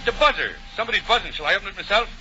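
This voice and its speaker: Shrewd, jovial male detective, very animated, teasing, muffled 1940s radio comedy. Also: high-pitched, very fast, full character voice. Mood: needling. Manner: teasing, chuckling banter. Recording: muffled, noisy 1940 radio broadcast.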